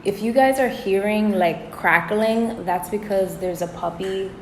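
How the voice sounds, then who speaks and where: A woman hosting a casual interview, talking in a chatty, animated way with lots of "like". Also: mid-range voice, unhurried, roomy sound, a little hiss or hum, rough recording. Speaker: host. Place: indoors in the Dominican Republic.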